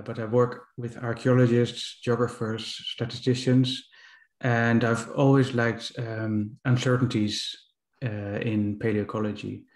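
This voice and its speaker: A man's voice: medium pitch, a little mellow. Dutch-accented man in an echoey room. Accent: Dutch with Northern Irish mix. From Netherlands. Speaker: course presenter. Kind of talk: self-introduction. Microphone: video call.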